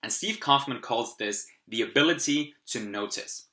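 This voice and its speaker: Bright-voiced Austrian man explaining software. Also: medium pitch, lively intonation, moderate pace. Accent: Austrian German. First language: German. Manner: upbeat, explanatory, occasional fillers. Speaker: language learning tutorial host. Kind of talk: screen-recorded software tutorial.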